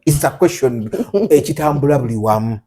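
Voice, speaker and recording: A man's voice: medium pitch, brisk, mellow. A man with a Ugandan accent hosting an interview, teasing and probing his guest with pointed questions in English and Luganda. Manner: teasing, probing, laughs often. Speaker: interviewer host.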